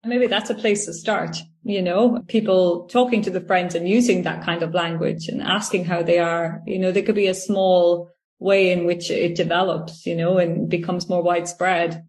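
A woman's voice: lowish voice, steady tone, moderate pace, hardly any pauses, a little mellow. A woman hosting a casual interview podcast, curious and chatty, peppering her speech with "you know". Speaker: podcast host.

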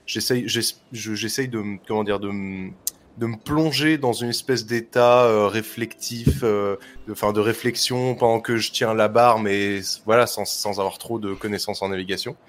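Player, male, low voice, halting, lots of fillers, walks through actions step by step. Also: steady tone. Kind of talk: casual tabletop roleplay chat.

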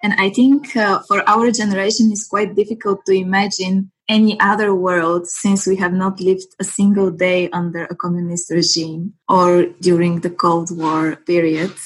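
Woman, about thirty, husky, lowish voice, measured. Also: little pitch movement, low-quality recording. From Bulgaria. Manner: measured and articulate. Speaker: panel guest. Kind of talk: considered discussion.

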